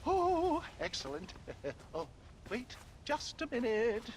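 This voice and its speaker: A man's voice: very high-pitched, wildly swooping pitch, takes it slowly, a little hiss or hum, heavily acted. A man playing an elderly, wheedling snake-oil salesman in a video game, flustered, florid and theatrical. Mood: sly, wheedling. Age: older. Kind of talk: video game cutscene acting.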